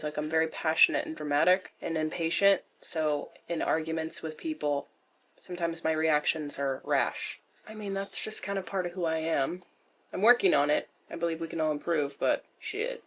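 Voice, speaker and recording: A young adult woman with a nasal voice answers questions in a casual vlog style, candid and self-deprecating, with dry jokes and swearing. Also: on the low side. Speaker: vlogger.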